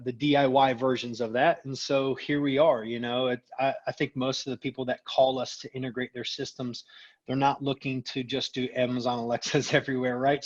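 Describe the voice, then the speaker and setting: Male executive guest, middle-aged, conversational and informal, mid-range voice, slightly lo-fi audio. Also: mid-range voice. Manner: conversational, peppers talk with "you know" and "right?". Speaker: company executive, interview guest. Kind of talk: casual interview conversation.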